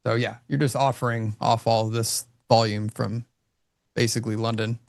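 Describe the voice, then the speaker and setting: A man with a gravelly, creaky voice chats loosely about his trades and fills his sentences with likes and you-knows. The sound is dry and close to the mic. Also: medium pitch, flat delivery, normal speed, dry recording. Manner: casual, rambling, filler-heavy. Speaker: trader host. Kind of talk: live trading commentary.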